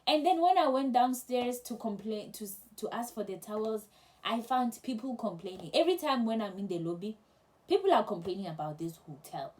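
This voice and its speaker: A young woman casually telling a travel story to camera, chatty and animated, with many restarts. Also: on the high side, brisk. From South Africa. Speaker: travel vlogger.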